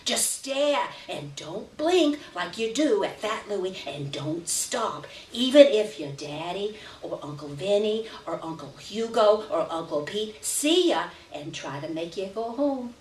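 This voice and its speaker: A woman's voice: mid-range voice, very animated, measured pace, few pauses. A woman voicing a fierce, commanding working-class mother who threatens and gives emphatic orders in a live story reading. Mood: coaxing, stern. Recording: roomy, distant live reading with background noise.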